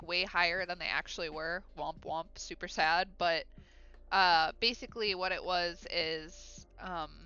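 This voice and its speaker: A woman's voice: on the low side, sharp tone, clear, resonant tone, lo-fi sound. A woman with a Midwest American accent chats casually on a livestream while she draws, reading out viewer comments with fillers and giggles. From Ohio, USA. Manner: chatty and upbeat, with frequent fillers and giggles. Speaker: illustrator live-streaming her drawing.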